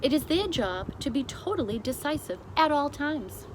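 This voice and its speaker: A woman reading a children's story aloud as scripted narration, with deliberate, expressive phrasing. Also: high-pitched. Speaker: storybook reader. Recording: clearly noisy amateur recording.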